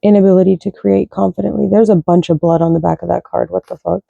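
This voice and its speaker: Woman with a deep, dark voice and a dry, close sound, reading cards in loose, sweary stream-of-thought chat. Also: steady tone, quick pace, vocal fry, no room sound, muffled recording. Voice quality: low voice. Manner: loose, profane, thinks aloud. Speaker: card reader. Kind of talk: casual rambling spiritual chat.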